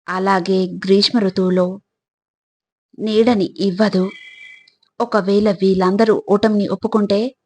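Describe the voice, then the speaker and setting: A woman reading scripted motivational narration in a deliberate, instructive way, addressing the listener directly. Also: mid-range voice, slightly rough.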